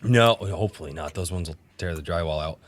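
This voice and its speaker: A man's voice: deep voice, a little fast, background noise. A man talking casually as a podcast panelist. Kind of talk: casual chat.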